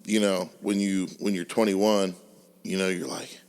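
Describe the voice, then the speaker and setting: Deep-voiced laid-back young man. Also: little pitch movement, unhurried, a little mellow, clean tone. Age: 20s. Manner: laid-back, slangy storytelling, laughs often. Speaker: podcast co-host. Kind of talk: casual chat.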